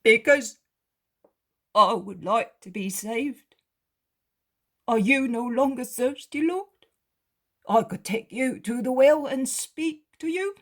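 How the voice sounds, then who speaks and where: Fields: woman voicing a pleading male beggar. Pitch: high. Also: measured pace. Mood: earnest. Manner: earnest, pleading. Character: poor humbled male beggar, pleading and repentant.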